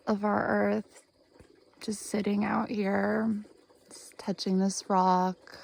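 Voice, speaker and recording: A woman in her thirties leading a guided meditation outdoors, speaking gently and earnestly with long pauses. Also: medium pitch, gravelly. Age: 30s. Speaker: guided meditation host, healer. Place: outdoors in the woods by a spring.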